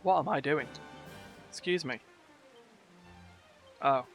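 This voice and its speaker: A man's voice: fairly high pitch, slow pace. A man streaming a game, giving casual commentary full of muttered asides and wry exclamations. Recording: game music behind.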